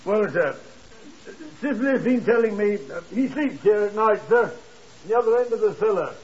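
A man's voice: sits high, animated, normal speed. An actor playing an earnest, deferential working-class hotel night man in a 1950s radio crime drama, hesitant and eager to explain. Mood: hesitant, insistent. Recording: muffled 1950s radio broadcast.

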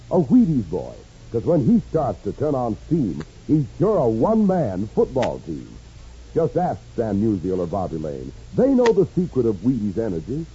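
A man's voice: medium pitch, mellow. A man reading a rhyming commercial as a 1950s radio announcer. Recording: muffled old radio, music behind.

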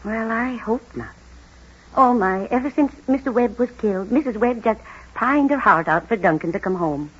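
An actress playing a kindly, earnest and hopeful doctor's wife in a small town, in an old-time radio drama. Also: female voice, on the high side, expressive, normal speed. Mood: earnest, concerned. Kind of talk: 1940s radio acting. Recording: muffled husky old-time radio recording.

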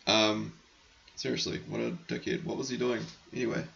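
A man with a low, heavily gravelly voice that is going hoarse hosts a casual hockey podcast. He rambles through listener questions in a frank, chatty way and keeps clearing his throat. The recording is slightly muffled, with faint background noise. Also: flat delivery, moderate pace, a bit bright. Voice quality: gravelly, croaky.

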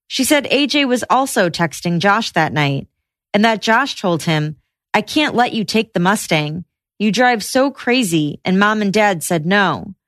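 A woman podcast host narrating trial news in a measured, reportorial way. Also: medium pitch, fairly bright tone, no echo, clean studio sound.

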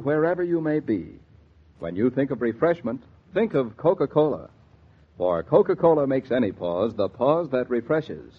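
A man's voice: medium pitch, husky. A man announcing for a 1940s radio show in a polished, genial, salesmanlike way. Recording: muffled 1940s radio transcription.

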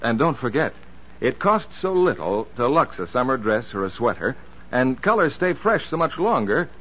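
Animated male announcer on muffled old radio. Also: medium pitch, normal speed, husky. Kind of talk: 1940s scripted radio advertising. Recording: muffled 1940s radio broadcast.

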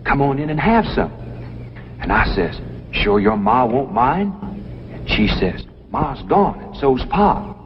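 An actor playing a naive, boastful young backwoods man with a Southern hillbilly accent, telling tales in a folksy, excitable way in an old TV sitcom. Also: mid-range voice, takes it slowly. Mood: eager, boastful. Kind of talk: 1960s TV sitcom acting. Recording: dull, noisy old TV soundtrack.

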